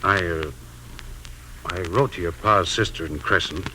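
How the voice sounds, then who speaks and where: Deep, bright-toned frontier sheriff. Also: male voice, a little slow, exaggerated voice. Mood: awkward, sorry. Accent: American. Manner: folksy, expressive. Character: hearty, kindly frontier sheriff. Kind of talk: 1950s radio western acting. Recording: slightly noisy 1950s radio recording.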